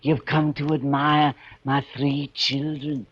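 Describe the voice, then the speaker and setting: A man's voice: fairly high pitch, little pitch movement, takes it slowly, slightly rough. An actor playing an old bell-ringer in a 1940s radio drama, crooning fervently in folksy archaic dialect about bells he treats as his children. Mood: doting, proud. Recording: muffled vintage radio broadcast with music.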